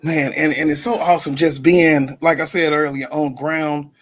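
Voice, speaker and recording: A man's voice: on the high side, steady tone, normal speed, a bit bright. Friendly male podcast host, full of fillers. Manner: friendly, many fillers and backchannel agreement. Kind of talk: podcast interview.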